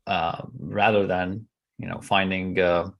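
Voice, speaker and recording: A man from Iran, answering questions as an interview guest in a measured, hesitant way with frequent pauses. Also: sits low, level tone, dull audio.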